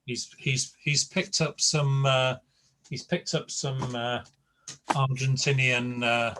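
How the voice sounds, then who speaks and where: A man running a class over a video call speaks, unhurried and full of ums. His pitch sits in the middle, and his pace is very slow. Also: flat delivery, bright, forceful tone, a little hiss or hum. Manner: slow, hesitant, filler-heavy. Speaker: teacher. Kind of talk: online class.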